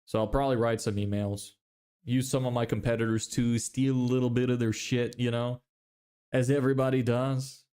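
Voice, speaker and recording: A man's voice: medium pitch, little pitch movement, moderate pace, dark tone, clear, resonant tone. A young man from Lithuania with an American-sounding accent, chatting loosely on a livestream, reading viewer comments and joking about himself. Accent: American-sounding English. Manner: loose, self-deprecating, swears casually, laughs often. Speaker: livestreamer building a business.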